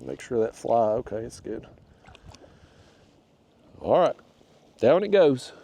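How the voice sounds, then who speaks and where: Gravelly, stuffed-up man. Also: medium pitch, animated, takes it slowly, warm tone. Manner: chatty, talks to the fish and to viewers. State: stuffed-up, nasal from a cold wind. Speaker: kayak fishing channel host. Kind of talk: casual narration while fishing. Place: outdoors on a kayak on a river.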